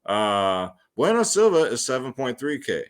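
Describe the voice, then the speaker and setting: A man's voice: mid-range voice, sing-song, normal speed. A man hosting a fight picks show, talking casually and slangily to his viewers as guys while he gives predictions. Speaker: host of a fight picks and fantasy sports show. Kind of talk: casual solo fight predictions and betting commentary.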